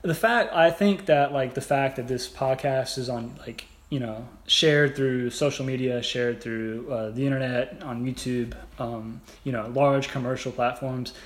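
A young adult man co-hosting a podcast in casual, halting, rambling, informal talk. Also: medium pitch. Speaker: podcast co-host. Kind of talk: casual conversational podcast chat. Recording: echoey room, background noise.

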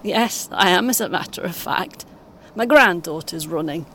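A woman acting a gentle older British grandmother, faint rumble. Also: animated, moderate pace. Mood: friendly. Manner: gentle, brief, politely encouraging replies. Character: polite, patient older British grandmother on a coach. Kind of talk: audio play dialogue. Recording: faint vehicle noise behind. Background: vehicle rumble, coach ambience.

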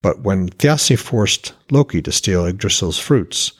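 A man's voice: low-pitched, animated, a little slow, husky, heavily acted. A man voicing a troubled young god, a son, in a fantasy story, speaking steadily and questioningly. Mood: steady, uneasy.

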